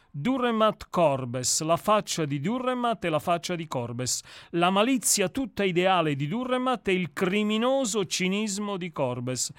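Man, fairly high voice, literary reading, theatrical deliberate delivery, smooth tone, dry recording. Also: a bit bright, no room sound. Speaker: co-host of a literary radio discussion. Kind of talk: expressive reading and literary commentary.

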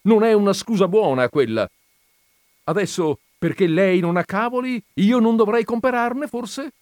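A man's voice: high-pitched, animated, moderate pace, dry recording, clean studio sound, pitched above the performer's own voice. A man putting on a cranky stubborn gent. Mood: exasperated. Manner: loud, indignant, argumentative. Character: cranky, absurdly stubborn man who shouts easily.

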